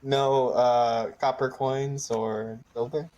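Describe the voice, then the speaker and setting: A man's voice: medium pitch, steady tone, takes it slowly, lo-fi sound, a loud crowd. A man in casual improvised roleplay as a game player, hesitant and tentative.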